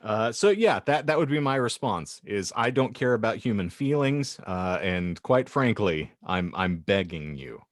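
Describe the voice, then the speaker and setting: A man's voice: on the low side, lively intonation, measured pace, hardly any pauses, no room sound, studio quality. A man co-hosting a comedy podcast, chatting in a wordy, sardonic way. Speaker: podcast co-host. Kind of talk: comedic panel chat. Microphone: remote call microphone.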